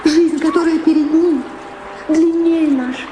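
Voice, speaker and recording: A woman's voice: high-pitched, fairly even intonation, a little slow, noisy background. An actress playing a weary woman in love who longs for home, plaintive and earnest, in a theatrical radio drama. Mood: firm, reproachful. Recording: echoey drama recording with music. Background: music.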